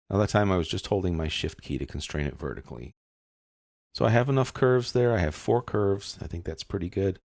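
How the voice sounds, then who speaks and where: A man narrating a software tutorial, explaining each step in a casual, methodical way. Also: low-pitched, creaky, no room sound, studio quality.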